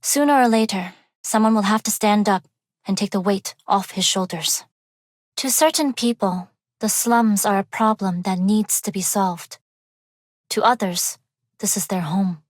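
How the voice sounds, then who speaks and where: A woman voicing a refined young underworld heiress in a video game, speaking in a composed, measured and formal way. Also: mid-range voice, dry recording, studio quality, natural voice. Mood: reserved, reflective. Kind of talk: scripted game character voice lines.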